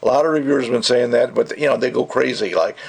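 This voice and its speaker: A man's voice: mid-range voice, quick pace, creaky, husky. A man giving a casual, rambling and opinionated product review, talking off the cuff.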